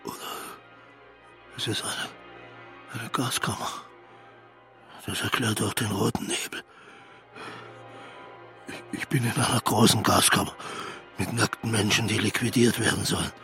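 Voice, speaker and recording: An actor in a radio play voicing a delirious older Nazi commander, halting and repeating words, lurching between whispers and outbursts. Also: male voice, fairly low pitch, steady tone, pushed and bright, soft background music. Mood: confused, panicky. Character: delirious, fanatical old Nazi commander, fleeing and collapsing. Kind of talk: radio play acting, inner monologue.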